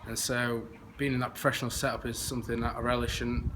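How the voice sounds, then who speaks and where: A young man, an academy rugby player, giving plain, measured answers in an interview. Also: medium pitch, flat delivery. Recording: noisy outdoor recording with siren.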